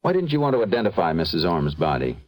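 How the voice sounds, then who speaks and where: An actor playing a stern, businesslike American police detective in a vintage radio crime drama, terse, matter-of-fact and persistent. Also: male voice, low-pitched, sing-song, quick pace, no echo.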